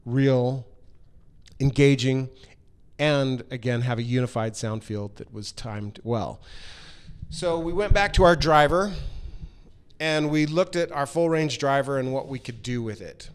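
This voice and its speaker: A middle-aged man giving an informal product presentation as a company presenter, halting and pausing mid-phrase as he explains the design, speaking into a handheld microphone. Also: medium pitch, clear, resonant tone. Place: large hard-walled workshop room, indoors. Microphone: handheld microphone held close.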